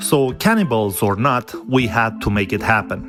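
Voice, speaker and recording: Low-voiced male travel vlogger with music behind. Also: very animated, fast. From Romania. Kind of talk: scripted travel narration mixed with talk to camera.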